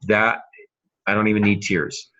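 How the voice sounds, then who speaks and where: A man's voice: fairly low pitch, a little slow, pushed and bright, muffled recording. A middle-aged man co-hosting a podcast, discussing fiction craft conversationally and emphatically, repeating phrases to make his point. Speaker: podcast co-host, novelist.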